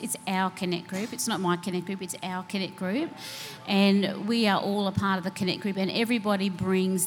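A woman's voice: mid-range voice, slight background noise. A woman who leads a women's small group, talking earnestly and encouragingly in a casual church panel chat. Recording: hall with long reverb.

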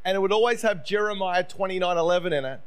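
A man's voice: high-pitched, fast, clean tone. A middle-aged Australian man preaching as a visiting speaker, telling his personal story in a conversational, self-deprecating way. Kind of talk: sermon, personal testimony.

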